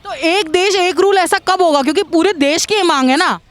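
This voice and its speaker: A woman's voice: extremely high pitch, moderate pace. A woman working as a street reporter, putting questions to passers-by outdoors. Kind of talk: vox pop interviewing with leading questions.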